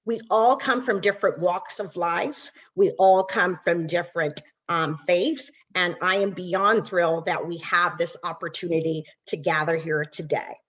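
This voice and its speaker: A woman's voice: on the low side, steady tone, unhurried, few pauses, a bit bright, muffled recording. A woman from Barbados, a nonprofit executive, giving an earnest webinar welcome with frequent ums.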